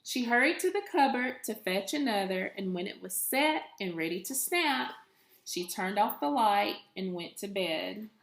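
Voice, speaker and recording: A woman reads a picture book aloud in an echoey room. She takes it very slowly, with deliberate and expressive phrasing meant for young children. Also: mid-range voice, vocal fry. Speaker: elementary school teacher reading aloud.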